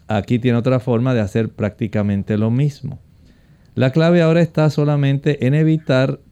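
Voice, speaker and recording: A man calmly explaining nutrition and exercise on a call-in show, speaking directly to the listener. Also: mid-range voice, clear, resonant tone. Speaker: health expert guest. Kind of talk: explaining to callers.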